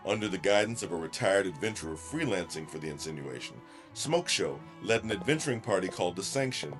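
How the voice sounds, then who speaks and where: A man narrates a fantasy audio drama in a very deep, dark voice. His measured storytelling moves through lively, theatrical intonation. Faint music runs underneath.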